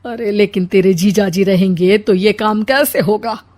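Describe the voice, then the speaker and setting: A woman's voice: mid-range voice, steady tone, a little slow, a little mellow, close to an everyday voice. A woman voicing a jealous, bossy older mother-in-law in a comic cartoon story, complaining dramatically. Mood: complaining, plotting.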